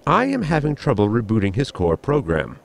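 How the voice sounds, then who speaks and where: A man's voice: mid-range voice, brisk, gravelly, exaggerated voice. A man playing a formal, drily witty, deferential spaceship crewman in a sci-fi comedy audio drama. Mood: matter-of-fact. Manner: deadpan, formal, wordy. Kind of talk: science fiction comedy audio drama acting.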